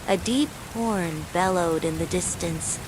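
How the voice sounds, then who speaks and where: Slow-paced woman narrating horror over rain. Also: lowish voice. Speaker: storyteller. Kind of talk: scripted bedtime horror narration. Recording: steady loud rain noise throughout. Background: falling rain.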